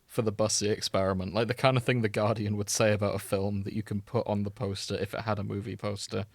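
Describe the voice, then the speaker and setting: A man with a fairly low voice hosts a podcast. He talks casually and jokily, as if among friends. The sound is close and dry, with very little room on it. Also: level tone, fast, dry recording. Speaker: podcast host. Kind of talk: casual comedic chat.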